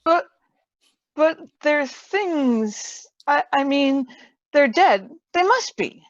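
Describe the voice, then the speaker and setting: High-voiced anxious sci-fi companion played by a woman. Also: animated, very slow, no room sound, exaggerated voice. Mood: anxious, puzzled. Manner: hesitant, questioning. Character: anxious, bewildered young female companion. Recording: dull, muffled lo-fi microphone.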